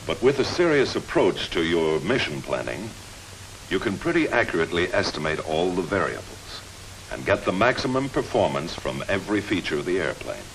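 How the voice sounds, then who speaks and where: Man, American accent, fairly low voice, military instructor briefing trainees, 1950s film narration, faint hiss. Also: big pitch swings, normal speed, fairly bright tone, rough recording. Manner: direct address to trainees.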